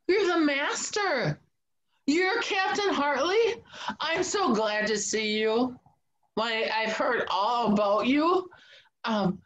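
A woman's voice: fairly high pitch, lo-fi sound. An older woman playing a flustered, admiring household maid in a fretful, exclamatory stage comedy style. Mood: surprised, admiring. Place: indoors at home, video call.

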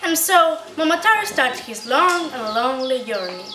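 A woman's voice: high-pitched, sing-song, normal speed. A teenage young woman narrates a scripted story. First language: Spanish or Galician. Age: teens. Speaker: student narrator of a puppet show. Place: indoor library-like room at a day care centre. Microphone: distant camera mic. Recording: echoey room, clear background noise.

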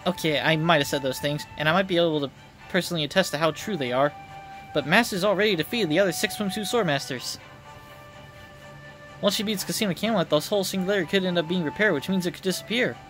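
Guy doing a bossy game queen, theatrical and indignant, highish voice, music behind. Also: fast talker, fairly bright tone, poor audio. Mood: flustered. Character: bossy, dramatic, indignant young warrior queen. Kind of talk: video game dialogue read aloud.

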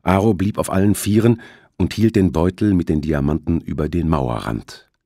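A man's voice: sits low, moderate pace, mellow, no echo, clean studio sound. A man reading a thriller audiobook as its narrator, in scripted storytelling style.

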